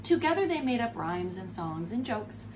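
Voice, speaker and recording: Expressive woman reading a storybook, very lively pitch. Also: moderate pace. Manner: expressive, explains words to children. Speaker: preschool teacher. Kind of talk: storybook read-aloud for young children. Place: furnished room at home, indoors. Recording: clear background noise.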